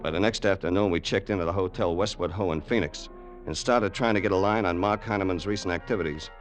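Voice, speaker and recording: An actor playing a loyal, wary gangster's sidekick in an old radio crime drama, colloquial and hardboiled. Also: male voice, deep voice, fast, music in the background, natural voice. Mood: matter-of-fact. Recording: noisy old radio recording.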